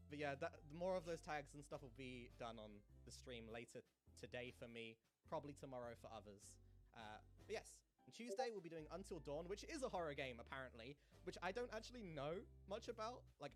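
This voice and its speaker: A playful man with a Cockney accent chats through his stream, laughing often and teasing viewers. His voice sits fairly high and swings widely in pitch. Soft music plays faintly underneath. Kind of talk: casual stream chat.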